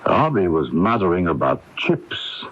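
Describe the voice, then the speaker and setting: An actor playing a thoughtful, methodical doctor with a gravelly voice, explaining carefully and haltingly in a 1980s radio drama. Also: male voice, low voice, very animated, fairly bright tone, a little hiss or hum, heavily acted. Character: thoughtful, methodical male doctor piecing clues together. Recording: muffled 1980s AM radio broadcast tape.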